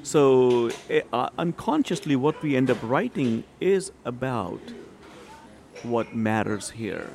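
A man's voice: medium pitch, animated, slow, creaky, a little mellow. A middle-aged man from Pakistan, a writer and translator giving discursive interview answers with many fillers. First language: Urdu or Punjabi.

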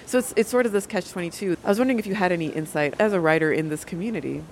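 A woman's voice: fairly low pitch, racing, a little hiss or hum, low-quality recording. A woman hosting a show, interviewing a writer and framing long, articulate questions. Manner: articulate, lays out questions at length.

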